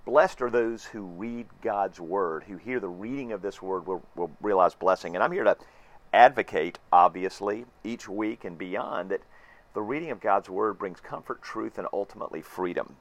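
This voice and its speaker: A man, hoarse-voiced, preaching an earnest and reflective sermon with emphatic repetition. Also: fairly low pitch, moderate pace. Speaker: pastor.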